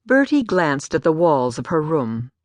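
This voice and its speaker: Woman, low pitch, scripted storytelling, audiobook narrator, dry studio sound. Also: very animated, a little slow, dry recording.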